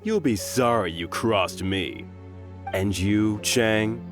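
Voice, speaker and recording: A man's voice: lowish voice, slow pace, faint music behind. A man voicing a thuggish henchman in a video game, mocking, swaggering and full of threats. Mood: mocking, threatening. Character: sneering thuggish male henchman debt enforcer.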